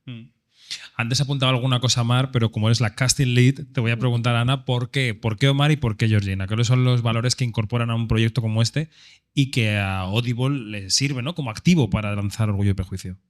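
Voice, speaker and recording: A man hosts a stage panel with brisk, prompting questions. His voice sits at an ordinary middle pitch, picked up by a handheld mic. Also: fairly even intonation. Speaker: moderator. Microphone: handheld microphone.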